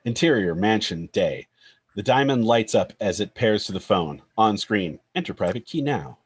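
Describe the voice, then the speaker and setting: A man's voice: on the low side, expressive, normal speed, slightly rough. A man narrating a screenplay's stage directions in a scripted table-read style.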